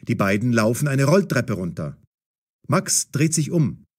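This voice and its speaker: A man narrates a scripted story. His voice is middling in pitch and husky. He tells it with animated, wide-ranging intonation. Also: a little slow.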